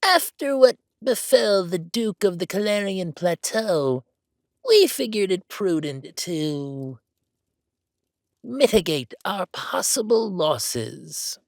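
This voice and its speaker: A man's voice: sits high, very animated, takes it slowly, creaky, no echo, studio quality, voice pushed up, full character voice. A man voicing a haughty, blunt count in a fantasy roleplay audio drama, with pompous, formal and condescending delivery. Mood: wary, pointed.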